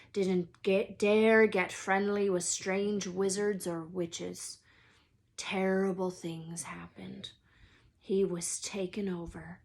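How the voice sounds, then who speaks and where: A woman voicing a huge, gruff but good-hearted wizard man in a storybook, emotional and anxious, with folksy, halting speech and dropped word endings. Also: mid-range voice.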